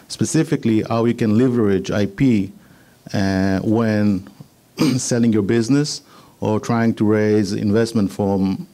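Israeli-accented man presenting, low mellow voice. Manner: measured, slightly halting non-native English. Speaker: patent attorney giving a presentation. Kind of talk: business presentation to an audience.

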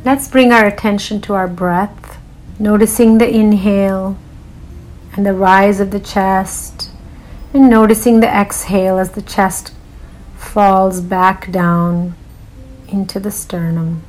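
A female meditation guide speaks very slowly and soothingly at a medium pitch. She leaves long pauses between phrases, with faint music underneath. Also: darkish tone, rough recording.

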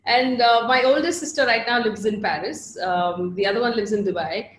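A woman's voice: on the high side, moderate pace, roomy sound, slight background noise. Chatty Indian woman with a Bangalore accent. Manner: chatty and animated, laughs often, trails off with fillers. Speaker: journalist, interview guest. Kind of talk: casual interview chat.

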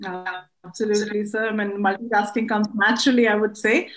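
A woman's voice: medium pitch, fairly even intonation, brisk, reverberant, poor audio. A middle-aged woman with an Indian accent giving formal, respectful answers as a guest in a conversational interview. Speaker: interview guest, air force air traffic control officer.

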